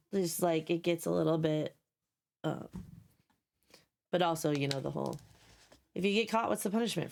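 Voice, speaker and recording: A young woman streaming live, talking casually to her viewers. Her voice is scratchy and sleepy, and she is blunt and sarcastic, swearing freely and repeating herself as she goes. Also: mid-range voice.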